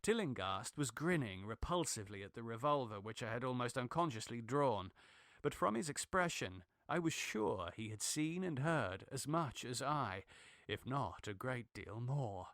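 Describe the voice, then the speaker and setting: Casting: male audiobook narrator for gothic horror, mid-pitched, grave and deliberate, very dry recording. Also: sing-song, a bit bright, dry recording. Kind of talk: dramatic literary horror narration.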